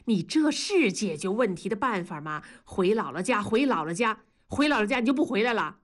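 A woman's voice: mid-range voice, sing-song, a little fast. Middle-aged dad, placating but exasperated, voiced by a woman reading aloud; storybook voice.

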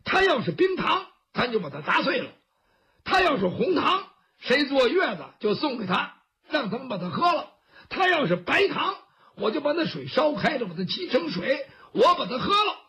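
A storyteller voicing a brash, boastful male guardsman who blusters and mocks in a husky voice. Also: high-pitched, big pitch swings, normal speed, sharp tone, voice pushed up, exaggerated voice. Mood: boastful, mocking. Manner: blustering, rambling mockery. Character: brash boastful hot-headed male guardsman, loud and mocking.

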